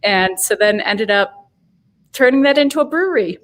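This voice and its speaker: A woman's voice: medium pitch, expressive, normal speed. Chatty woman with a Boston accent. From Boston, USA. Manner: chatty, rambling with many "um"s and restarts, laughs easily. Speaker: guest, brewery owner. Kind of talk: casual interview over a video call.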